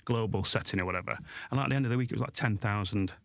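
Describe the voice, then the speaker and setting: A man's voice: on the low side, very fast, creaky, no room sound. A man in his forties from Sheffield with a South Yorkshire accent, chatting as a guest in a casual interview, self-deprecating and rambling with lots of restarts. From Sheffield, England. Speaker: guest author and blogger.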